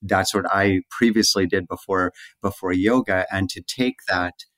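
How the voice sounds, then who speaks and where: A man hosting a podcast interview, gently affirming the guest and asking open questions. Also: sits low, steady tone, moderate pace, dry recording. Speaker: podcast host. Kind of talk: conversational interview.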